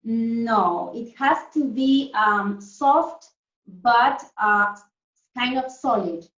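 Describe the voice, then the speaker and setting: A woman's voice: fairly high pitch, very slow, fairly bright tone. A woman primary school teacher leading an online lesson for children, explaining things simply and often checking that they follow. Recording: echoey room, distant muffled mic.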